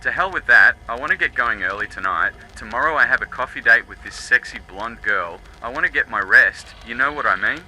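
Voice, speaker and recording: A cocky, laddish male recon worker, played by a man in an audio drama. He is brash and teasing, with a very forceful, bright voice over faint noise. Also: mid-range voice, lively intonation, fairly quick, hardly any pauses, poor audio. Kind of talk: dramatised audiobook radio dialogue.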